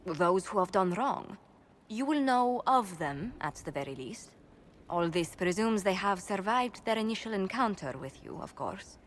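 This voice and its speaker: An actress voicing a formal, measured woman advisor in a video game. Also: mid-range voice, animated, a little hiss or hum, close to an everyday voice.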